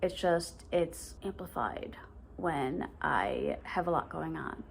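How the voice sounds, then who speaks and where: Chatty late-20s woman with a slight lisp. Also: mid-range voice. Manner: speaks with a stutter; chatty, filler-heavy, rambling. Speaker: vlogger. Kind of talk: casual chat to camera.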